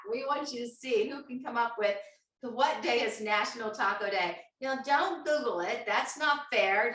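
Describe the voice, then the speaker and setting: A woman's voice: fairly high pitch, pushed and bright. A woman hosting a live online cooking show, chatty and quizzing her audience with frequent laughs. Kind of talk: upbeat live-stream hosting. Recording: echoey room, distant mic.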